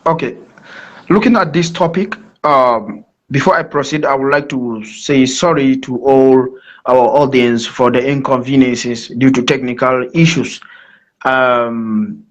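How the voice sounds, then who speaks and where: A man's voice: mid-range voice, fairly even intonation, measured pace. A man from Nigeria with a Nigerian accent speaks formally as a guest presenter on a live online talk, explaining things with emphatic repetition. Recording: dull lo-fi online call audio.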